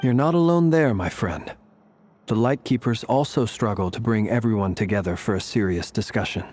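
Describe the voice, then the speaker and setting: A man playing a wry, easygoing warrior who guards a lighthouse in a video game, speaking in a measured way. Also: on the low side, a little mellow, slight background noise, close to an everyday voice. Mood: earnest.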